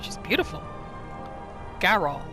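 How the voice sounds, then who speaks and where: A woman gives casual roleplay commentary on a video game. Her pitch moves around in a lively way as she chats and muses, with music clearly behind her. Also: measured pace. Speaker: gaming video host.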